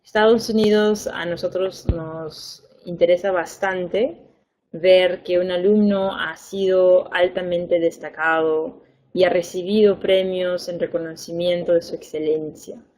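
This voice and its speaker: A young woman education advisor, a native Spanish speaker, giving a webinar lesson in a clear, patient way, stressing points by repeating words. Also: fairly low pitch, steady tone, a little slow, darkish tone, low-quality recording. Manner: clear, patient explaining with fillers and repeated emphasis.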